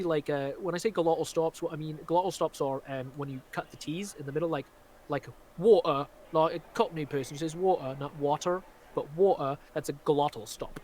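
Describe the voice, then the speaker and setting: A man's voice: fairly high pitch, fairly bright tone. A man with a faint Glaswegian accent blended with American speech, a magician chatting casually on a live stream with lots of fillers. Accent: faint Glaswegian mixed with American. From Scotland. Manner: chatty, rambling, many fillers.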